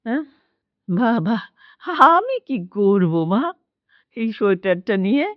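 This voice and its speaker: A woman's voice: mid-range voice, sing-song, unhurried, dry recording, lo-fi sound. A woman playing an elderly, half-blind, doting but scolding Bengali mother in a radio drama, with a plaintive, fussing manner. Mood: wistful, fond. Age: older.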